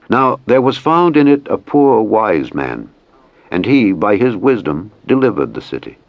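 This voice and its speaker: A muffled, slightly lo-fi recording of a man with a low voice. He narrates scripture in a solemn, measured way, with formal phrasing. The sound is dry and close, free of room reflections. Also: no echo. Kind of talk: solemn scripted Bible reading.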